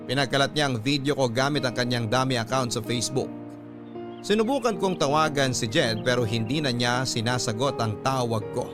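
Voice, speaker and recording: Male radio drama narrator, mid-pitched, reading a personal letter dramatically over clear music. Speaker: storyteller narrator. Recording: music under the voice.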